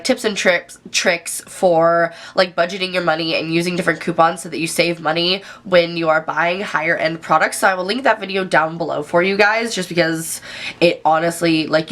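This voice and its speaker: A woman's voice: on the low side, fairly bright tone. A young woman in her twenties with a stuffy, nasal voice from a cold, chatting enthusiastically through a casual makeup review. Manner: chatty, enthusiastic, many fillers. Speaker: beauty vlogger.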